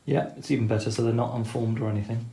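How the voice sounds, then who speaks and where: A man's voice: medium pitch, fairly even intonation, very fast, gravelly, mellow, echoey room, slight background noise. A man methodically explaining each step of a tabletop wargame turn, talking through the rules as he plays. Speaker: wargame player explaining turns. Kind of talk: tabletop game commentary.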